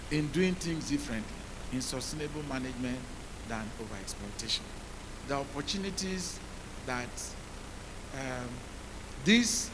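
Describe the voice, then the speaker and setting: Deep-voiced man, hissy conference mic. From Nigeria. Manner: measured, rhetorical questions to the audience. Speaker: policymaker on a conference panel. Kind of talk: panel talk.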